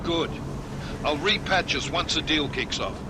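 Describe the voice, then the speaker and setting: A man's voice: a little high, expressive, very noisy. A man playing a tense, authoritative field operations leader briefing his squad in a video game. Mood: focused, commanding. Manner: brisk, authoritative. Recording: loud music behind the voice.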